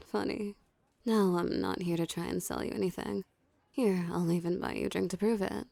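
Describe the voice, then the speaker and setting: Woman playing female mafia boss, mellow dark tone, gravelly fry, teasing, dominant, unhurried, ASMR roleplay. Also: mid-range voice, steady tone, no echo. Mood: cool, flirtatious. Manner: smooth menacing flirtation, condescending, unhurried. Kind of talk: ASMR roleplay, scripted character acting.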